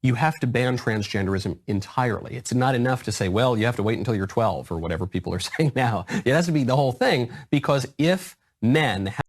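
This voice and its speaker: A man's voice: mid-range voice, fairly quick, few pauses. A man giving a firm, declarative speech to a conference audience. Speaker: political speaker.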